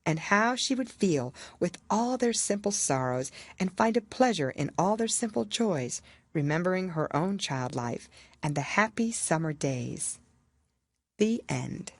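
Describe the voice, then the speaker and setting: A woman narrating a children's classic as an audiobook, in a measured, expressive storytelling manner. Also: on the low side, no room sound. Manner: expressive, measured literary reading.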